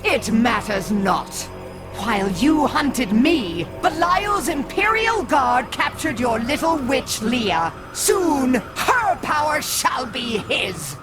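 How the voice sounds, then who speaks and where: A woman's voice: high voice, very animated, takes it slowly, over music, exaggerated voice. An actress voicing a cruel, scheming witch who leads a cult, in a game cutscene. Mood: taunting. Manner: gloating, theatrical menace. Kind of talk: video game cutscene acting.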